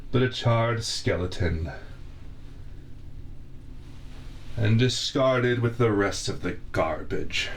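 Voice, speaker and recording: A pompous middle-aged torturer, played by a man, speaks in a low voice, drawing out every word at a very slow pace. His phrasing is grand and formal, like a stage villain in an ASMR roleplay. He is close on a binaural microphone, with a faint hiss behind him. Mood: menacing.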